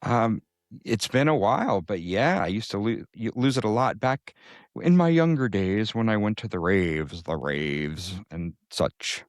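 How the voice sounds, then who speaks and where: A man's voice: on the low side, very animated, unhurried, no room sound, clean studio sound. A man co-hosting a podcast, joking and teasing his partner in casual chat. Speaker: podcast co-host.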